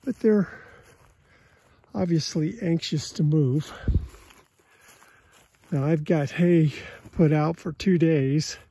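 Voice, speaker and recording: A man's voice: on the high side, takes it slowly, pauses often, mellow, husky. An American man, a cattle farmer with a folksy, plain-spoken way, casually narrating his chores outdoors into a handheld phone. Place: outdoors in an open pasture. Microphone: handheld phone held by the speaker behind the camera.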